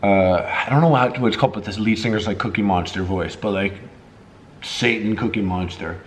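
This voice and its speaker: A man's voice: fairly low pitch, brisk, roomy sound, a little hiss or hum. A man chatting casually to his viewers as a vlogger, with a jokey, slangy, profane way of talking.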